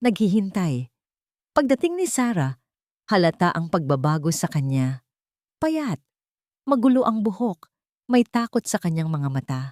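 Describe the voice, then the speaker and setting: A woman's voice: lowish voice, very animated, a little slow, long pauses, a little mellow, no echo, studio quality. A woman reading a scripted story as narrator, with an earnest, dramatic delivery.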